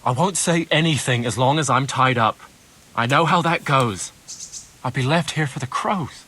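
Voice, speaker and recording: An actor playing a captured young mercenary in a video game, resentful, defensive and pleading. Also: male voice, mid-range voice, moderate pace, fairly bright tone, slightly rough. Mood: bitter, defiant. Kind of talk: video game cutscene acting.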